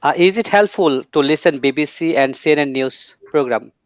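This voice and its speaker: A man's voice: fairly high pitch, moderate pace, no echo. A man asking short, slightly hesitant questions as an interviewer. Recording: dull, lo-fi, dry sound.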